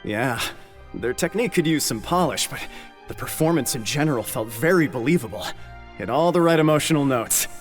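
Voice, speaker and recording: Brief: actor playing reflective male theatregoer for a game, medium pitch, considered delivery, faint music. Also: lively intonation, a little fast, lightly acted. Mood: thoughtful, impressed. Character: thoughtful male theatregoer, mildly critical but moved. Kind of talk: English video game dub.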